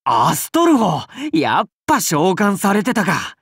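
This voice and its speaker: A breezy young paladin king from a video game. He banters casually and warmly with a very lively pitch, recorded dry and close. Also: measured pace, husky, dry recording, full character voice. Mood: breezy, friendly. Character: breezy, friendly young male paladin king, cool and teasing. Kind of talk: scripted game character dialogue.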